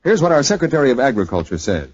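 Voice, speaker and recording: A man's voice: mid-range voice, big pitch swings, rapid-fire, slightly rough, dry recording. A man reading a scripted sponsor announcement as a 1940s radio announcer. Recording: dry, muffled 1940s radio recording.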